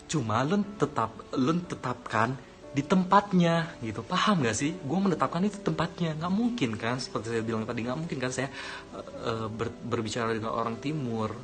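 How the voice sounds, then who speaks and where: A man's voice: medium pitch, normal speed, fairly bright tone. A young man from Aceh talking casually to viewers, hesitant with many fillers and restarts, slipping into English phrases. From Aceh, Indonesia. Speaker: testimony speaker. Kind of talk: casual motivational monologue to viewers. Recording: music playing behind.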